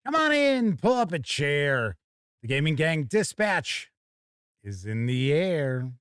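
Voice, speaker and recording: A man's voice: a little high, big pitch swings, takes it slowly, fairly bright tone, smooth, dry recording, clean studio sound. A man hosting a casual live stream with folksy, hearty showman patter. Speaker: host of a tabletop gaming live stream.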